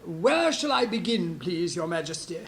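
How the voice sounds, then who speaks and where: A woman's voice: on the low side, big pitch swings, normal speed, pitched above the performer's own voice. A woman voicing a fussy male rabbit court herald in a storybook reading, prim, careful and obsequious. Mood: prim reciting.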